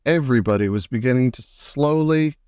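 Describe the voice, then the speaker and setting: A man reading a children's storybook aloud as an expressive narrator. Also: medium pitch, fairly quick, clear, resonant tone, dry recording. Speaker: audiobook reader.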